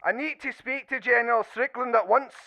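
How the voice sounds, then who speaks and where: An actor playing an eager, formal military cadet reporting through a telephone filter in a radio play. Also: male voice, extremely high pitch, fairly quick, gravelly, noisy background. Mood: urgent, formal. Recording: thin band-limited phone line.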